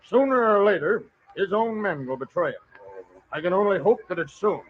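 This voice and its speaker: A man's voice: high voice, expressive, moderate pace, a crowd in the background. An actor playing a harried, exasperated male frontier sheriff in an old radio western. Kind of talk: 1940s radio western acting. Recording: tinny old radio recording.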